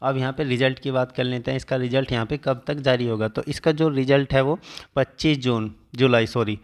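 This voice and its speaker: A man's voice: medium pitch, fairly even intonation, brisk. Man giving an itemised exam update. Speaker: exam coaching teacher giving result updates.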